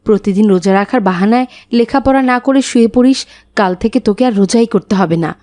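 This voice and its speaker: A woman's voice: on the high side, fairly quick, dark tone, lightly acted. A woman voicing a fed-up, scolding mother in a cartoon, nagging and reproachful.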